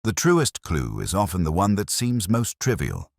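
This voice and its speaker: A man's voice: low voice, huge pitch swings, normal speed, creaky, no room sound, clean studio sound, exaggerated voice. A man voicing a cerebral detective who speaks in a grave, didactic way with formal Victorian phrasing. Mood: earnest, instructive.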